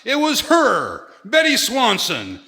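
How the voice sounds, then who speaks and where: A man's voice: extremely high pitch, huge pitch swings, slow, sharp tone, heavily acted. A man playing an eager, folksy church pastor in a live comedy sketch, with grandiose, exaggerated delivery. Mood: eager, puzzled. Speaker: sketch performer and announcer. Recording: live hall with long reverb, music.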